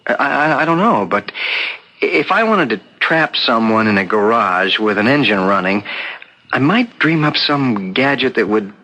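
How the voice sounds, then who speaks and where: A man playing an earnest writing teacher and amateur sleuth in a 1970s radio drama, polite, halting and eager. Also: medium pitch, animated, sharp tone. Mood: eager, hesitant. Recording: noisy 1970s radio broadcast recording.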